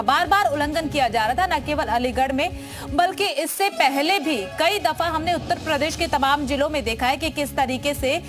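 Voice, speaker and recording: A woman anchoring live TV news as debate host, emphatic and repeating phrases for stress. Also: high voice.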